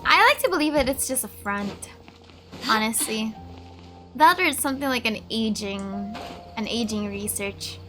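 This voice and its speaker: High, bright-voiced woman gaming. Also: normal speed, faint music behind. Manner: informal, reacting aloud. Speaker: gaming streamer. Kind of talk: casual gameplay commentary.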